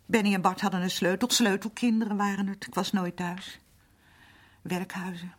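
A woman playing a worn-down older working-class housewife and mother in a Dutch radio drama, rambling on in a plaintive, confiding way with a husky voice. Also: medium pitch, fairly even intonation, normal speed, lightly acted.

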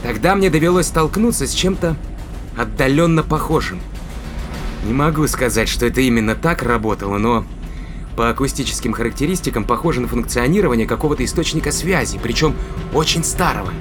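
A man's voice: medium pitch, expressive, brisk, over music, close to an everyday voice. A man playing an inquisitive spaceship captain in a science fiction audio drama, commanding and thinking aloud. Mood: pensive, suspicious.

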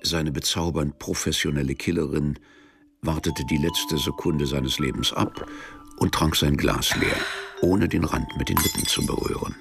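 A man narrating a literary radio crime drama in a measured, deadpan manner, as a cool, sardonic storyteller. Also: a bass voice, creaky. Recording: music under the voice.

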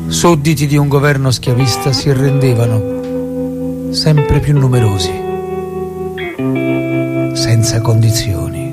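An actor playing a solemn chronicler of the revolution, narrating in a declamatory, elegiac style. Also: male voice, mid-range voice, close to an everyday voice. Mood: solemn, epic. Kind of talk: dramatic scripted narration.

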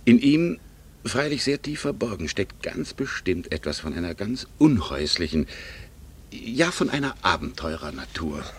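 An actor playing a wry divorced family friend in a German radio play, speaking in a dry, urbane, gently ironic way with a husky voice. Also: male voice, low voice, very animated, moderate pace, full character voice. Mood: wry, self-justifying. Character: wry divorced man, old family friend about to travel.

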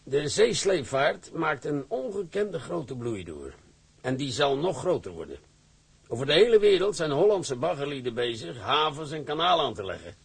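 A stern older shipping director lays out his plans in a gravelly, husky voice. His delivery rises and falls in a lively way as he lectures a young sailor. It is radio drama, with a firm and authoritative manner. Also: a little high, moderate pace, exaggerated voice. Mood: lecturing.

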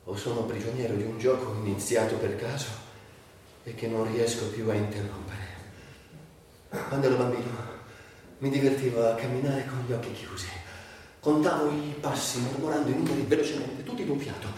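An actor playing a bitter, sardonic, foul-mouthed sick man in a volatile theatrical monologue, with drawn-out words and breathy outbursts. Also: mid-range voice, darkish tone, natural voice. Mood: haunted, wistful. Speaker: stage actor performing a monologue. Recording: echoey hall, distant mic, faint noise.

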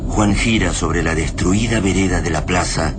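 A man acting as a grave narrator of a post-invasion radio tale. His Argentine voice is low and solemn, with literary phrasing, over a noisy soundtrack. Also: normal speed, close to an everyday voice. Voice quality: deep. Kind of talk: radio drama scripted narration.

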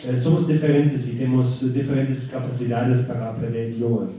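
A German-accented man giving a conference talk in a large auditorium, halting and full of fillers, poking fun at his own pronunciation. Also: mid-range voice, little pitch movement, dark tone. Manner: halting, many fillers, self-deprecating. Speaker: guest speaker. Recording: echoey hall from far off.

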